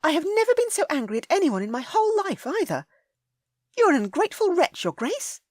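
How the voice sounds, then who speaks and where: A woman voicing a proud young high-born lady with sharp, huffy retorts. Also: huge pitch swings, a little fast, no room sound, clean studio sound, exaggerated voice. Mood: angry.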